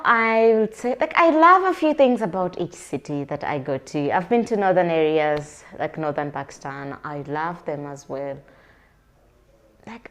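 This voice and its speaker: A woman from Uganda, chatting casually to camera as she answers viewer questions, rambling with many fillers. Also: on the low side. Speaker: vlogger.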